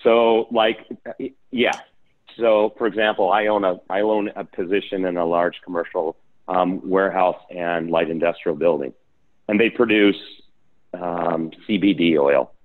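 A man with a low voice, speaking on a video call. His audio comes through thin and phone-like. He talks casually and often restarts his sentences. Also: steady tone, unhurried. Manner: conversational, restarts mid-sentence. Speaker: real estate investor and developer guest. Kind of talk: panel discussion on a video call.

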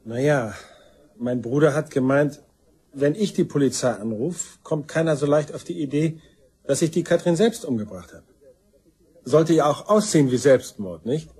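An actor playing a resentful, self-excusing murder suspect in a crime radio play, talking in a colloquial, defensive way. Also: male voice, on the high side, animated, normal speed, darkish tone, slightly rough. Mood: sheepish.

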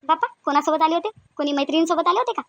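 A woman's voice: very high-pitched, very fast, no echo, dull audio. A woman voicing a shy, naive young newlywed wife in a cartoon, fretful and exclaiming, with a Varhadi Marathi accent from Vidarbha. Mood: curious. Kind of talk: cartoon dialogue acting in colloquial dialect.

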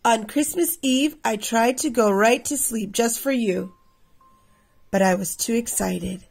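Lady in her 30s doing a kids storytime read aloud, bit raspy and creaky, expressive. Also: fairly high pitch, unhurried. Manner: addresses children directly. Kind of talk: expressive picture-book reading for children.

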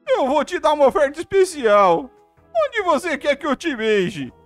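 A Brazilian woman hosts casual gameplay, reading dialogue aloud. Her voice sits a little high and is hugely animated in pitch, with an informal, expressive delivery. Faint music runs behind her. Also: moderate pace. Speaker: gameplay video host.

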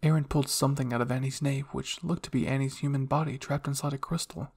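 A man reading fan fiction aloud as dramatic first-person story narration, moving between hushed and intense delivery. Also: mid-range voice, fairly even intonation, fast talker, creaky, breathy edge. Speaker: audio fan fiction reader.

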